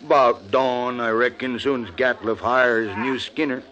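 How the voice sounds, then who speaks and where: A man's voice: medium pitch, fairly even intonation, unhurried, a bit bright, natural voice. An American man playing a blunt, wary frontier hide skinner with a plain rural Western drawl in an old-time radio drama. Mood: indignant, wary. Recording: muffled vintage radio recording with music.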